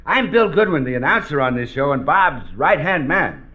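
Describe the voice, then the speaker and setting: A man's voice: a little high, very animated, moderate pace, bright, forceful tone. A man playing the eager, gushing announcer sidekick in scripted old-time radio comedy. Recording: muffled vintage radio broadcast.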